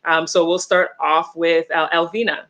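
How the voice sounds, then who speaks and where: Bright, chatty woman host for a live-streamed interview show. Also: on the low side, flat delivery, moderate pace, dull audio. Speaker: podcast host.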